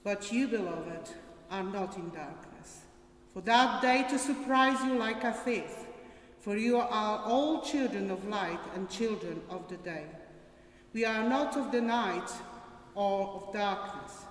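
A woman's voice: mid-range voice, slow pace. An older woman giving a formal scripture reading at a church service. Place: large stone church, at a lectern. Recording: echoey church, distant mic.

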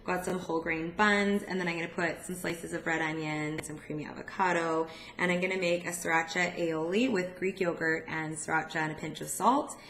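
Lower-pitched woman presenting in an echoey room. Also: steady tone, fairly quick, hardly any pauses, a bit bright, slight background noise. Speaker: dietitian presenting meal prep tutorial. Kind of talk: casual instructional vlog narration. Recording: echoey room with music underneath. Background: background music.

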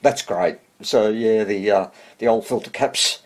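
An older man with a husky voice, giving casual, halting hands-on commentary as he tinkers at an indoor workbench. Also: lowish voice. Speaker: radio repair hobbyist.

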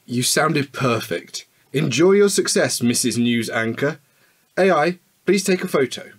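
A man's voice: mid-range voice, lively intonation, unhurried, slightly rough. A man playing a devoted, protective husband in a radio drama, speaking naturally with earnest tenderness. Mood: affectionate.

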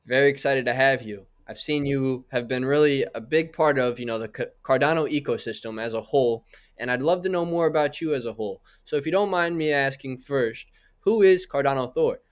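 A young man hosts a podcast into a close mic in a small room. His voice sits in an ordinary middle pitch. He speaks in a friendly, casual way and strings his questions out at length. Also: brisk, clear, resonant tone. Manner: friendly, asks long rambling questions. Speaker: podcast host. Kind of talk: casual interview.

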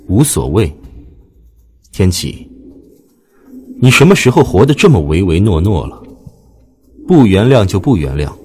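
A man's voice: sits low, very animated, a little slow, pauses often, a little mellow, a little hiss or hum. A man playing a cold, aloof, commanding god in an audio drama, with a stern, haughty delivery. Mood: cold, dismissive.

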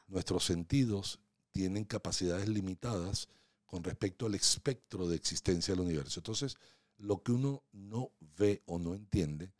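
A man in his sixties speaks with a very low, deep voice. He chats as a podcast guest, playful and full of stories. The sound is close and dry, from a studio mic on a boom arm. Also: normal speed, no room sound. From Venezuela. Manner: playful, asks teasing questions, storytelling. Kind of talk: casual interview chat. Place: small home podcast studio. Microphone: studio mic on boom arm, headphones.

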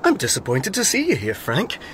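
A man's voice: medium pitch, wildly swooping pitch, fairly quick, background noise. An actor playing a sly, condescending male villain in a radio drama, polite and insinuating. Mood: smug.

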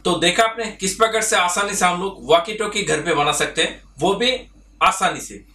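A man's voice: sits high, moderate pace, sharp tone. A man, giving instructional tutorial narration as host of an electronics channel. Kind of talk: instructional tutorial narration mixed with English terms.

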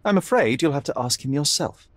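An actor playing a young detective in a video game, measured, dry and probing. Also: male voice, on the high side, animated, no room sound. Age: young adult. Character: cool, wry young male detective. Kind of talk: video game cutscene acting.

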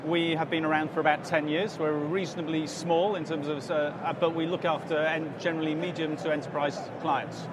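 A man in his forties talks through his business with plenty of ums and restarts, his voice sitting a little high for a man. Behind him a busy expo hall roars with loud noise. It sounds like a live stand interview. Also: little pitch movement, a little fast, few pauses. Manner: explanatory, many fillers and restarts. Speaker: interview guest, company director. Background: crowd chatter and hall noise.

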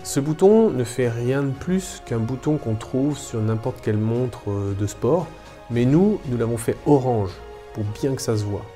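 Man in a mock-corporate keynote pitch. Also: expressive, measured pace, mellow, faint music behind. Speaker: channel host. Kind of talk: satirical mock product keynote. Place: living room, on a sofa.

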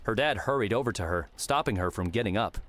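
Low-voiced male audiobook narrator. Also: lively intonation, fast, a bit bright. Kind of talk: scripted third-person fiction narration. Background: music.

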